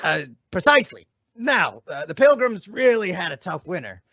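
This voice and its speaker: A man's voice: high-pitched, big pitch swings, moderate pace, lo-fi sound, background noise. A man playing a slangy, self-important freeloading boyfriend who bluffs and stalls, in an old-time radio comedy. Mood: pompous.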